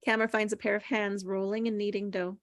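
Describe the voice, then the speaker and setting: A woman's voice: medium pitch, little pitch movement, fast, no echo. A woman with a mid-range voice reads screenplay directions aloud. The delivery is scripted narration for a table read, and the sound is dry with little room in it. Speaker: stage directions reader.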